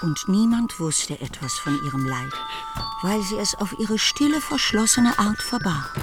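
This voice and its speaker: A woman's voice: mid-range voice, expressive, measured pace, loud music behind the voice, natural voice. A woman narrating a period novel for a radio play in a scripted, literary storytelling style.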